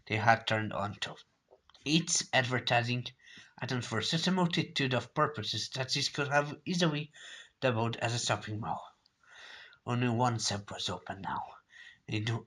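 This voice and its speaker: A man narrates a story in a bright, forceful voice at a middling pitch. He reads dramatically, as an amateur would. Now and then he stumbles over a word and says it again. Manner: stumbles and repeats words while reading.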